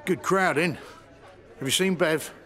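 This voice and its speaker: A man's voice: on the high side, slow, fairly bright tone, some chatter behind. An actor playing a middle-aged husband on crutches who is looking for his wife in a radio drama.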